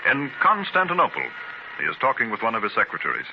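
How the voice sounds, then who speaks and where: A man's voice: mid-range voice, huge pitch swings, fast talker. A man narrating a 1930s radio biography drama in a formal, dramatic announcer's delivery. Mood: grave. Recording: noisy, dull 1930s radio transcription.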